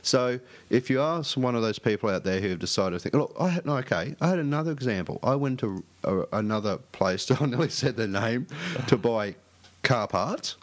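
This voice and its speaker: A man's voice: medium pitch, animated, a little fast. A middle-aged man who stutters, telling a story in casual chat as a radio show co-host. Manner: stutters, with repeated restarts.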